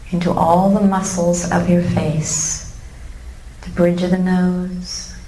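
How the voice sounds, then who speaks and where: Female meditation guide, very slow pace, calm and gentle, long pauses, roomy live recording. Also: fairly low pitch, a little hiss or hum. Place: room with a seated live audience.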